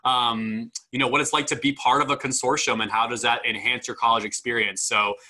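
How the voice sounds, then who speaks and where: Bright-voiced young man hosting online. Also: medium pitch, steady tone, brisk. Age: young adult. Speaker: admissions dean hosting a student panel. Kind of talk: informal welcome to an online panel. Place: indoor room, video call. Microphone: video call microphone.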